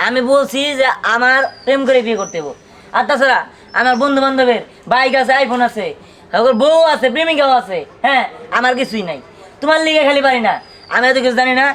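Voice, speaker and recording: A man's voice: extremely high pitch, fairly quick, fairly bright tone. A man playing a petulant, lovesick village son who melodramatically threatens suicide in a comedy drama. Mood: petulant, sulking. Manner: petulant, complaining.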